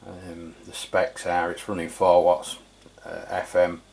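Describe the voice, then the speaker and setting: Low-pitched man reviewing gear. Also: monotone, slow, gravelly, a little mellow. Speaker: radio review channel host. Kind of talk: informal product review voice-over.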